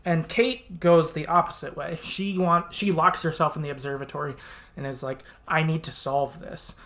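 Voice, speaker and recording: A podcast host in relaxed discussion mode, speaking at a middling pitch. The delivery is informal and conversational, feeling its way through a retold story with loose, hedged phrasing. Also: moderate pace. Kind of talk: casual podcast discussion retelling a game story.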